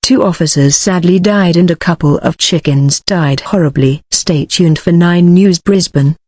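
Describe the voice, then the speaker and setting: Dry-sounding mid-pitched news announcer. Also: a little slow, a little mellow, no echo. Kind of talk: scripted emergency news narration.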